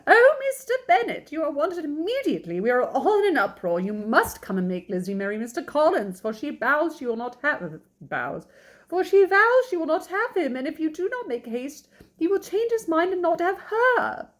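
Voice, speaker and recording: A woman's voice: sits high, sing-song, moderate pace, few pauses, darkish tone, voice pushed up. A woman voicing a flustered, melodramatic middle-aged mother from a classic novel, insistent and full of exclamations. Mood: frantic.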